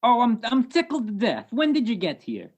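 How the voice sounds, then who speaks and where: A woman's voice: fairly high pitch, huge pitch swings, moderate pace, a little mellow, husky, exaggerated voice. A woman playing a suspicious old male police sergeant who talks in needling tough-guy slang in a radio detective play. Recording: muffled video-call recording.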